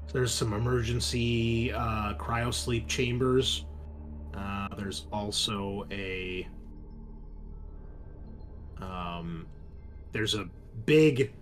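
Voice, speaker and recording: A man's voice: lowish voice, a bit bright. A man narrating casually as game master of a tabletop roleplaying game. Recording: noticeable background noise.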